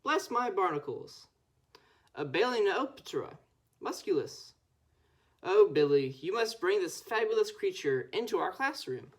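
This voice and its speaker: Excitable male science teacher voiced by a young woman. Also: medium pitch, a little slow, pitched above the performer's own voice. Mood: delighted. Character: enthusiastic, scholarly male schoolteacher fond of sea creatures.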